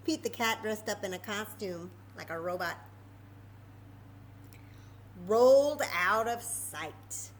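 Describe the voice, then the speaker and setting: A woman's voice: mid-range voice, lively intonation, slow, pauses often, slight background noise. A woman hosting a children's library story time and craft lesson, explaining simply step by step in an informal, chatty way.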